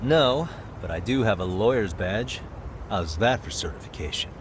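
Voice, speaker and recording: Man playing a low-voiced, wry investigator. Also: lively intonation, normal speed, noisy background, natural voice. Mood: casual, persuasive. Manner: casual, wry, laid-back phrasing. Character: easygoing, wry male lawyer turned investigator. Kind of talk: video game voice acting.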